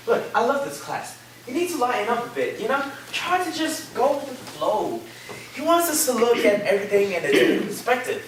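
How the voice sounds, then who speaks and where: An actor playing an easygoing student, lively pitch. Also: fairly quick, natural voice. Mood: breezy, reassuring. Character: easygoing, enthusiastic college student defending an odd teacher. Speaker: actor in a staged play reading. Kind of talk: staged play reading. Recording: echoey room from far off, noisy.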